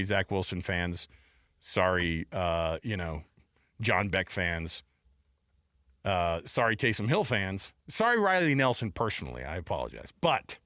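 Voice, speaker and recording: A man's voice: deep voice, a bit bright, no echo, studio quality. A man co-hosting a casual sports talk show, conversational and repeating phrases for emphasis.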